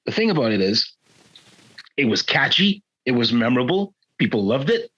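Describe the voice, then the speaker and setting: A man in his fifties chats as a podcast co-host, his bright, slightly husky voice leaping up and down in pitch. He slips into playful mock outrage easily. The sound is muffled and lo-fi, like a remote call. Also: normal speed. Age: 50s. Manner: animated, mock-indignant, playful. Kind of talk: casual chat.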